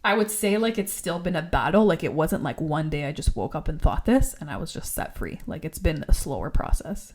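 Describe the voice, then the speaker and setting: A woman's voice: low-pitched, sing-song, fairly quick, hardly any pauses, darkish tone. A young woman co-hosting a faith-based podcast, talking earnestly and rambling, often laughing and saying "like". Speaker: podcast co-host.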